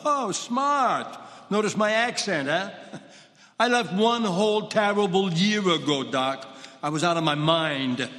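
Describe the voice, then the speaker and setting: An actor with a Brooklyn accent playing a gruff, complaining middle-aged cab driver on a church stage. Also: male voice, high voice, lively intonation, slow pace, a bit bright, heavily acted. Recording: echoey hall.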